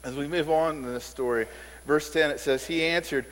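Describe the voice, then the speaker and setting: Guy preaching in church, kinda high voice, echoey hall, repeats himself, gets louder when he pushes a point. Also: fairly even intonation, normal speed. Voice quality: says he has a squeaky voice. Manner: conversational preaching, repeats words, rises in emphasis. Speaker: pastor. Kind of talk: sermon. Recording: reverberant hall sound.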